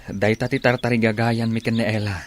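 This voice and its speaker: A man's voice: on the low side, little pitch movement, brisk, lightly acted. A man with a Filipino accent playing a hardworking, respectful village father in an Ilocano radio drama. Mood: earnest.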